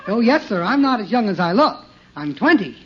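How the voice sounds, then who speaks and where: An actor playing a boyish, polite and eager young military officer in 1950s radio comedy, earnest and formal. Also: male voice, high voice, very animated, a little fast. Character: boyish, polite, eager twenty-year-old Air Force colonel. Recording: noisy, dull old radio recording.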